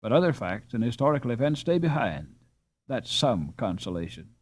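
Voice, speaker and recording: A man's voice: mid-range voice, big pitch swings, moderate pace, husky, dry recording. A man doing an eager English boy. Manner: enthusiastic, chatty. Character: enthusiastic English boy abroad.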